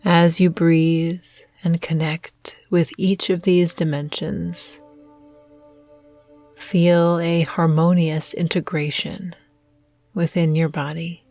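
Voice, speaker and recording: Female meditation guide, low register, unhurried and soothing, music underneath. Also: flat delivery. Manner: soothing, gentle, measured with long pauses. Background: soft meditation music.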